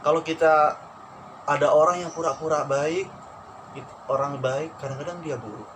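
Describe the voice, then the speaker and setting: A young man from Probolinggo with a Madurese-tinged Indonesian accent, telling stories candidly and in a relaxed way in a casual chat. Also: on the high side, normal speed. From Probolinggo, East Java. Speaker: radio announcer and aspiring actor. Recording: noisy background.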